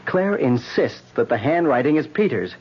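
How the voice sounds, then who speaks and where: Firm earnest guy, raspy-ish husky voice, insistent, old radio play, dull audio. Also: a little high, very animated, normal speed. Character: insistent, protective man confronting trouble head-on. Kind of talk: radio drama acting. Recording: muffled old radio drama broadcast.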